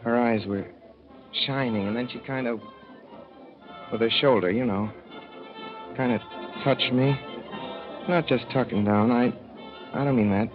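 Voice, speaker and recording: A man's voice: mid-range voice, fairly even intonation, measured pace, pauses often, lightly acted. An actor playing a naive young man from the Midwest who rambles through his story in a folksy, boyish way, on an old radio play. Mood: earnest. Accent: Midwestern American. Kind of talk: 1930s radio drama narration. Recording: dull 1930s radio broadcast with music.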